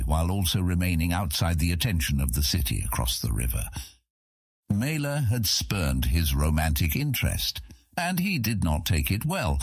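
A man narrates a fantasy audiobook in an extremely deep, husky voice. His scripted reading moves with lively inflection. The recording is close and dry. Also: dry recording.

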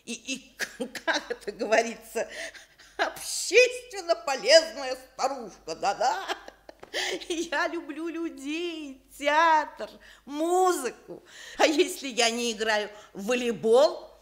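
Lively, high-voiced old widow. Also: female voice, takes it slowly. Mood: playful, bubbly. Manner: effusive, genteel, talkative. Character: kindly, lively old professor's widow, chatty grandmother. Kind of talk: Soviet radio theatre acting.

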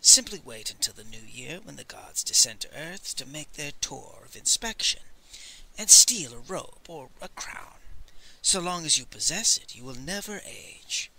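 Man reading a cunning ancient sage for an audiobook, hushed and breathy, gravelly, slow and sly. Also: mid-range voice, very animated, exaggerated voice, low-quality recording. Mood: confiding. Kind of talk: audiobook character voice.

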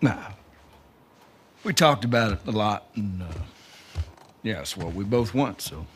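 Middle-aged US male, rugged and bitter game survivor, slow drawl, wide-swinging intonation. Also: lowish voice, animated, a little hiss or hum. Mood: subdued, earnest. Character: bitter, weary, rugged man bent on revenge. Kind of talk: naturalistic video game cutscene acting.

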